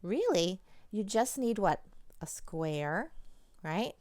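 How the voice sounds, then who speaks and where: A woman's voice: mid-range voice, big pitch swings, slow, a little mellow, dry recording. A woman talking casually and encouragingly to children, giving chatty craft instructions as a presenter. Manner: encouraging, chatty, addressing young viewers directly. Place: indoors at home, at a table.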